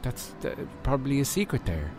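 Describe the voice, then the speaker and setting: A man's voice: mid-range voice, huge pitch swings, a little fast, music in the background. A man playing an earnest, easily confused woman sidekick in a comedy radio serial. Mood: flustered. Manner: earnest, flustered.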